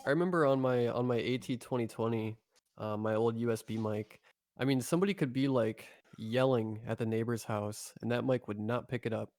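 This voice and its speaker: A man's voice: mid-range voice, a little fast, a little mellow, no room sound. Chatty podcast co-host man. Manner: chatty, enthusiastic about audio gear. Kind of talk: casual podcast chat.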